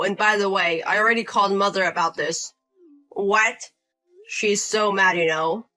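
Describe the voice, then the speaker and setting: A woman acts a younger husband standing up for his wife in a narrated story drama. He is blunt, confrontational and cold toward his brother, his mid-pitched voice bright and forceful. Soft music plays behind. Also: lightly acted, poor audio.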